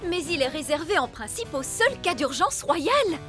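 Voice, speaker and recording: Haughty fairy nanny, cartoon, super high and theatrical, music behind. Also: female voice, big pitch swings, normal speed. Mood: grand incantation. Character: fussy, proud adult fairy nanny. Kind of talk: animated children's cartoon dub.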